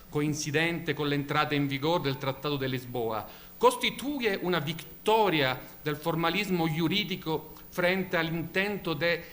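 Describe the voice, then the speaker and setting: A man whose first language is Italian, speaking with an Italian accent in a formal academic conference talk, measured, with occasional slips and self-corrections. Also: on the high side, a bit bright. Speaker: invited law professor.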